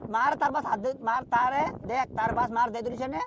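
A woman's voice: a little high, steady tone, fairly quick, noisy background. A woman in a heated argument on a phone call, scolding abusively and repeating herself.

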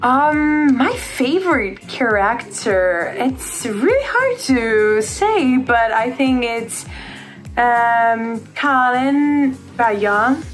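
A young woman from Georgia sharing her view of a show, speaking very slowly and searching for words. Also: fairly high pitch, lively intonation, faint music behind. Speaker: viewer giving opinions.

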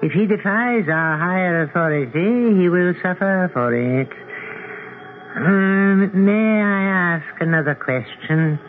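An actor playing a stern, imperious old god in a 1970s radio drama, speaking in deliberate, pompous pronouncements. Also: male voice, sits high, expressive. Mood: grave, measured. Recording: muffled, hissy old radio broadcast.

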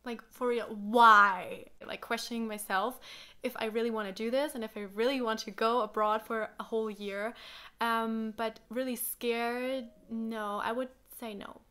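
A woman's voice: a little high, steady tone, measured pace, sharp tone, smooth. A young woman whose first language is German, chatting casually and answering questions in her living room, full of fillers and acted-out quotes. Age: young adult. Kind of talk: casual chat. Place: small living room with sofa, indoors.

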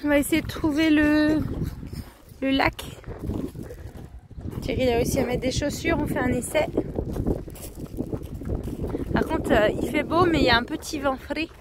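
A woman's voice: high voice, unhurried, pauses often, heavy background noise. A woman in her thirties chatting cheerfully as she films a camper van trip, outdoors and inside the van, on a handheld action camera. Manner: chatty, cheerful running commentary. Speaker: vlogger. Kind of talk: casual travel vlog narration. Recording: music playing underneath.

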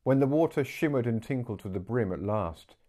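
A man in his forties reading a story aloud as an expressive audiobook narrator. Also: medium pitch, a little fast. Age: 40s. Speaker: book narrator. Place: small living room, indoors.

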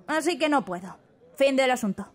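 A woman with an accent from Spain voicing a bubbly, teasing high school student in an anime fan dub, breezy and slangy. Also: animated, moderate pace. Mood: nonchalant. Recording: music bed under voice.

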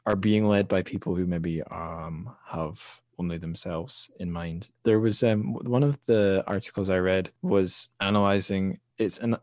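A man with a very low-pitched voice talks through a book in a casual podcast discussion. He feels his way through his points, rephrasing as he goes. The recording is very dry and close, with no room around it. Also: a little mellow, no echo. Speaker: podcast host.

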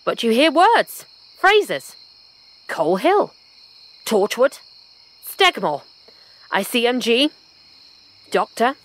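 An actress playing a curious, adventurous journalist in an audio drama. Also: female voice, on the high side, big pitch swings, slow, pauses often, fairly bright tone, exaggerated voice, rough recording. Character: Eleanor Agnew, curious adventurous female journalist.

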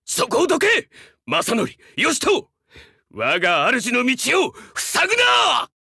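A man's voice: very high voice, huge pitch swings, slow pace, vocal fry, bright, forceful tone, husky, no echo, raised voice, studio quality, heavily acted. A man voicing a young, fiercely loyal dog-man samurai in a Japanese game, speaking earnestly in formal, archaic warrior speech with dramatic delivery. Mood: fierce, battle fervor.

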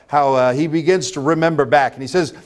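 A man's voice: on the high side, fairly even intonation, brisk. A middle-aged American man preaching a church sermon, emphatic and repetitive, asking rhetorical questions. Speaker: pastor preaching. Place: church sanctuary, at a pulpit before a congregation.

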